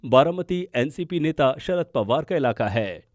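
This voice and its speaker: A man's voice: a little high, lively intonation, unhurried, darkish tone, no echo. A man narrating a scripted political explainer as a news podcast presenter.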